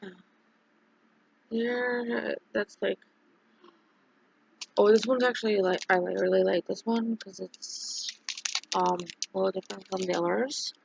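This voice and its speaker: A streamer chatting casually on a livestream, rambling and halting with many fillers and restarts. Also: medium pitch, steady tone, creaky, dull audio, a little hiss or hum.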